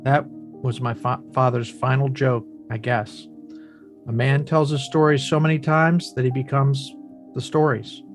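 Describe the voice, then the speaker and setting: A man's voice: mid-range voice, level tone, a little slow, soft background music, natural voice. Man reading as a subdued, reflective grieving son. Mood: wistful, solemn. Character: grown son reflecting solemnly on his father's stories. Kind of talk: screenplay table read.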